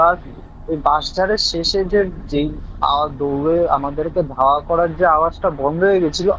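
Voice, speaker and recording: Young man, West Bengal Bengali accent, slightly high voice, animated casual storytelling, English words mixed in, faint noise behind. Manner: animated, mixes English words into his speech. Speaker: caller telling a personal ghost story. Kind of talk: casual spoken storytelling on a call. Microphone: phone call. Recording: dull lo-fi call audio, faint vehicle-like noise.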